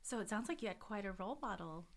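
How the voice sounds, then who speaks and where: A woman's voice: mid-range voice, lively intonation, quick pace. A woman hosting a podcast interview, reading a scripted introduction and then asking questions conversationally. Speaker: podcast host.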